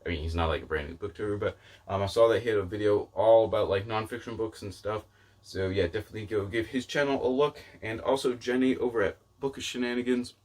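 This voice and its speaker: Low-pitched man chatting casually about his hobby. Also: fairly even intonation, fast, darkish tone. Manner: rambling, hedging, often doubles back mid-thought. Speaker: book vlogger and channel host. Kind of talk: casual chat to camera.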